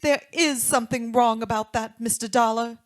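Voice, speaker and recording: A woman plays an anxious young married woman from a vintage-style radio detective drama. She talks earnestly and politely in a slightly high voice, recorded dry without room sound. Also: steady tone, moderate pace, dry recording, lightly acted. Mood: uneasy. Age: young adult. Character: anxious, well-off married woman in her late twenties. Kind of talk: old-time radio drama acting.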